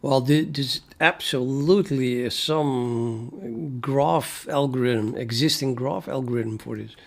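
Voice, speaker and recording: A man's voice: medium pitch, slow, gravelly. A man from the Netherlands with a Dutch accent, a native Dutch speaker, chatting casually on a coding stream and thinking aloud with many fillers and restarts. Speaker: programmer streaming his coding. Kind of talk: casual live-stream chat.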